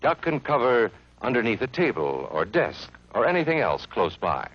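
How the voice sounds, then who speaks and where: A man's voice: mid-range voice, big pitch swings, moderate pace. Earnest 1950s film narrator, a man. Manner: earnest, friendly, instructive. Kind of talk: scripted educational film narration for children. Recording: muffled 1950s film soundtrack.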